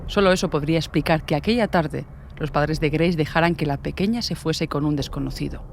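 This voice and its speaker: A man narrates a scripted true-crime documentary. His voice sits a little high, with faint ambient noise behind it. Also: fairly quick, creaky.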